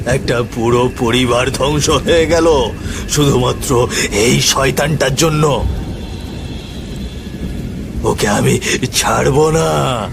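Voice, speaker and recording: A man's voice: mid-range voice, creaky, background noise. A man playing the vengeful ghost of a wronged young man in a horror cartoon, drawing out menacing, accusing words. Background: music with rain and thunder.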